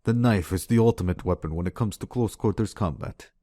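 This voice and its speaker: A man's voice: deep voice, brisk, dark tone, no room sound, studio quality, natural voice. A man acting in a radio drama as a menacing Russian assassin, taunting and theatrical in delivery. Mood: threatening. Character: menacing, gloating Russian male knife-wielding assassin.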